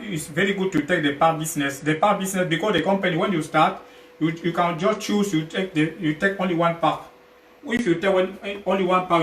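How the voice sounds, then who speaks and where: A man from Cameroon with a Cameroonian accent presents products informally, in a halting way that repeats and rephrases as he goes. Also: on the high side, fairly bright tone. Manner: halting, repetitive, rephrasing phrases several times. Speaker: health product sales partner, guest presenter. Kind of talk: informal livestream product pitch. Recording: echoey, noisy, distant livestream mic.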